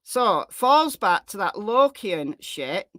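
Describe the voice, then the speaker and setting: A woman's voice: medium pitch, very animated, slow, a bit bright, no echo, studio quality. A woman hosting a true crime livestream, commenting and replying to chat, repeating phrases for emphasis. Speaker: livestream host.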